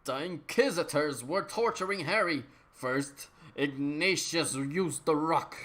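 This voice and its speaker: Low-voiced woman doing a dramatic reading. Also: slow, a bit bright. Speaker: narrator, reader. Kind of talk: dramatic reading of absurd comic fiction.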